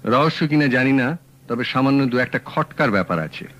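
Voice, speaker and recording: An actor playing a calm, shrewd male detective in a radio drama, talking in a measured, dry, matter-of-fact way. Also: medium pitch, dull audio, lightly acted. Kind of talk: 1970s radio drama acting.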